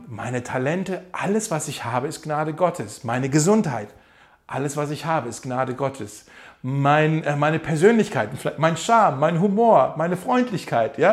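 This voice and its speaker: A man preaching a sermon, emphatic and direct, slowing down to repeat key phrases. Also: on the high side. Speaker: pastor.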